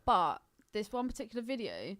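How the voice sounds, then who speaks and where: A woman's voice: medium pitch, huge pitch swings, brisk, a bit bright. Blunt, sweary woman chatting casually. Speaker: podcast co-host. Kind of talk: casual film chat.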